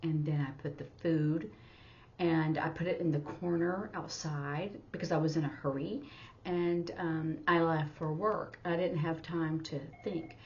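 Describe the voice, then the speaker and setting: A woman teacher retelling a story informally for a lesson, in a halting, step-by-step way. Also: low-pitched, fairly even intonation, noisy background. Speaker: sign language teacher. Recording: dull, muffled lo-fi audio.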